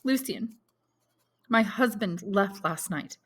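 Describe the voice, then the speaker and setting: A woman's voice: medium pitch, expressive, takes it slowly, breathy edge, voice pushed up. A woman reading an audiobook, voicing an agitated, secretive society wife in an urgent, confiding way. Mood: anxious. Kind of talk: audiobook character dialogue.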